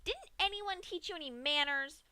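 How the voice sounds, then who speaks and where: A woman's voice: very high voice, lively intonation, moderate pace, sharp tone, no echo, pitched above the performer's own voice, heavily acted. A woman voicing a cheerful, sadistic female pink pony in a bubbly, sing-song way. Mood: pouty. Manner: chirpy, sing-song, unbothered.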